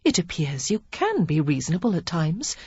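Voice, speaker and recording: A woman's voice: on the low side, very animated, moderate pace, warm tone, breathy edge, dry recording, highs cut off, exaggerated voice. A woman reading the part of a witty, confident young woman who teaches card tricks, in a teasing, playful way.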